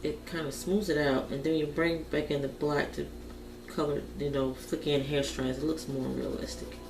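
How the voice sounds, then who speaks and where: A woman's voice: deep voice, level tone, moderate pace. A woman chatting casually and thinking aloud in a folksy, informal way as she hosts a colouring vlog. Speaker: host of a colouring tutorial vlog. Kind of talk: casual chat while colouring. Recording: roomy, noisy, distant home camera mic.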